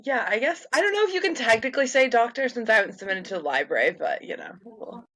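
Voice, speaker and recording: A woman's voice: on the high side, expressive, fast talker, fairly bright tone, no room sound. Woman, American accent, late twenties, guest researcher, casual chatty talk, dry close recording. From United States. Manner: chatty, many ums and likes, laughs easily. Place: home.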